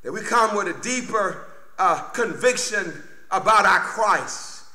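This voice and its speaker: A man's voice: high-pitched, lively intonation, a little slow, sharp tone. A middle-aged man preaching a fervent sermon as a pastor, emphatic and exclamatory, repeating phrases. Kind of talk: fervent Baptist Easter sermon. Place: church sanctuary at a pulpit, indoors. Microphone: microphone on boom stand at pulpit. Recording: roomy, echoing church sound.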